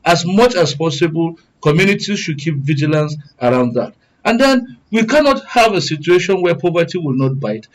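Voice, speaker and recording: A man's voice: on the high side, very animated, moderate pace, hardly any pauses, rough recording. A man with a Nigerian accent giving formal, wordy panel commentary, arguing point by point as a studio guest. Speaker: studio guest, good governance advocate.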